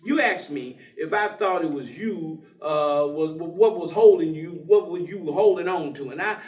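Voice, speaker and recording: A man's voice: on the high side, unhurried, darkish tone, close to an everyday voice. An actor playing an earnest, persistent, folksy working man in a staged dramatic reading, speaking colloquially. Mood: searching. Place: theatre stage. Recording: echoey theatre, distant microphone.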